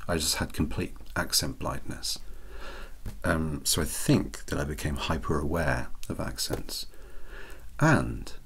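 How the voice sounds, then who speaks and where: A soft-spoken man, reading out questions and answering them in a wry, self-deprecating way. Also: extremely low pitch, measured pace, vocal fry, slight background noise. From Wales. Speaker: ASMR channel host.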